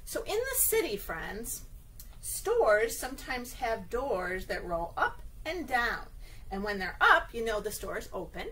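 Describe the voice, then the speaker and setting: High, bright, sing-song woman reading to children. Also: very animated, measured pace, slight background noise, poor audio. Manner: sing-song, exaggerated expression, often checks in with questions. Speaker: children's librarian reading a picture book. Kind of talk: read-aloud story time for young children.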